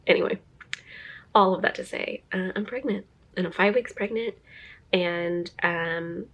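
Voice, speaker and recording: A woman's voice: fairly low pitch, a little slow, muffled recording. A young woman vlogging to camera in a chatty, rambling way, a little stuffy from a cold and laughing often. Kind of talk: casual talking-to-camera vlog.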